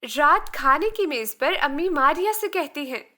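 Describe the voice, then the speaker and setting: Female narrator for kids' moral tales: scripted, bright, unhurried delivery. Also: sits high, expressive. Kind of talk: scripted children's story narration.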